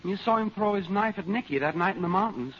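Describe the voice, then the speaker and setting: Eager, high-voiced radio youngster. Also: male voice, animated, quick pace. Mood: indignant. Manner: eager, argumentative, plain-spoken. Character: eager outspoken teenage boy, nephew of the hero. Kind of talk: 1930s-40s radio adventure serial acting. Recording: muffled noisy old radio recording.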